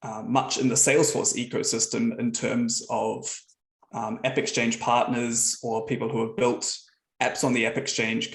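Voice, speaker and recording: Gravelly New Zealand man presenting. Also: mid-range voice, roomy sound, rough recording. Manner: relaxed, conversational storytelling. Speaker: guest speaker, software founder. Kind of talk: online meetup presentation.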